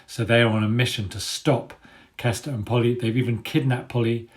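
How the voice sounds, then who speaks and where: Expressive man telling a children's story. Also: medium pitch, a little fast. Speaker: author reading own children's novel aloud. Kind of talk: storytelling, expressive book reading.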